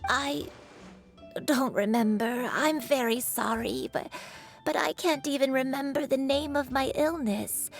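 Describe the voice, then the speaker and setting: An actress voicing a frail elderly woman who speaks in a slow, halting, wistful way, in a video game. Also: sits high, soft background music. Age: older. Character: frail elderly woman recalling a long illness.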